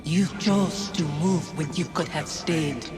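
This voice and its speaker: A ghostly lost loved one in a video game drama. She is reproachful and accusing, phrasing everything in a measured, poetic way over prominent music. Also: sits low. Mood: bitter.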